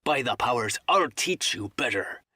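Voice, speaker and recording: A man voicing a cunning, commanding old pirate sea cook who drawls threats in rough seafaring dialect, as in an audiobook. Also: medium pitch, animated, moderate pace, bright, forceful tone, no echo, studio quality. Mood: menacing. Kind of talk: dramatised audiobook reading.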